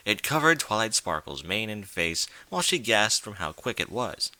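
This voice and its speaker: A man reads a story aloud as a theatrical narrator, leaning on his words. His voice is strikingly bright and forceful with lively swings in pitch, recorded close and dry. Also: no room sound. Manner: theatrical, emphatic. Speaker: narrator, reader of fan fiction. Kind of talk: dramatic scripted story narration.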